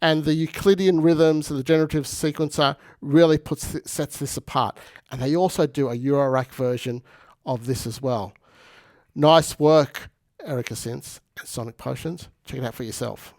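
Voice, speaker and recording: A man's voice: a little high, moderate pace, darkish tone. Chatty man reviewing gear. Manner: casual, jokey and enthusiastic. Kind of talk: product review and demo.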